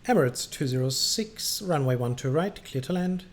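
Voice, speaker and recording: A man's voice: medium pitch, animated, normal speed. A man hosting a gaming livestream, chatting casually and hesitantly between air traffic controller calls. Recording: clearly noisy background.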